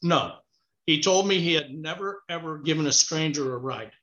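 A man from Cuba tells his stories as a guest author, animated and talking with his hands. His voice sits a little high and rings sharp and forceful. It comes over a remote call that sounds roomy and muffled. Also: normal speed. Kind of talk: casual storytelling interview. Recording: echoey, muffled call audio.

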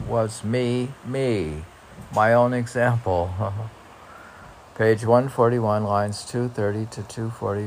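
A man reading poems aloud informally as a poet, with halting, musing asides between the lines. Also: on the low side, vocal fry, slight background noise, poor audio.

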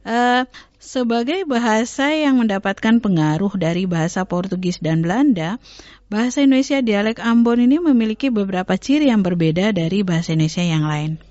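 A woman language researcher speaking as a guest on an educational radio talk, explaining at length with frequent pauses and self-corrections. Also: mid-range voice, expressive, a little mellow, dry recording. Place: radio studio. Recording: dull lo-fi radio studio.

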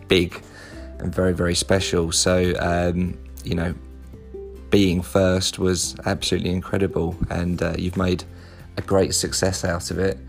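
Wry, low-voiced man with faint music. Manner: wry, joking, with frequent filler pauses. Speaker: former podcast guest. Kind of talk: recorded congratulatory voice message.

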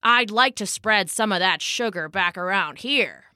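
A woman voicing a bustling bumblebee in a storybook, slow and a little weary, with buzzing sounds. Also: medium pitch, bright, forceful tone, no room sound, clean studio sound. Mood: friendly. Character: bustling bumblebee troubled by factory smoke.

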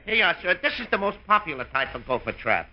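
Jittery store clerk, very noisy old radio. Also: male voice, high-pitched, very animated, fast talker, lo-fi sound. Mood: anxious, polite. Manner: stammering, nervous, flustered hesitations. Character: jittery, long-suffering male store clerk, barely keeping calm. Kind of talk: 1950s old time radio comedy acting.

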